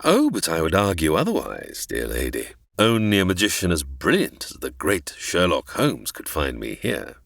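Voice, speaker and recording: A man playing a suave, gloating gentleman villain in a comic radio melodrama, silky and mock-courteous. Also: low-pitched, wildly swooping pitch, normal speed, gravelly, few pauses, no echo, clean studio sound, full character voice.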